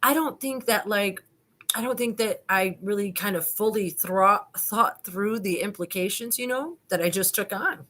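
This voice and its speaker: A woman reading the part of a flustered male witness under questioning, with halting, repetitive, broken phrasing. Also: mid-range voice, pushed and bright. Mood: flustered, evasive.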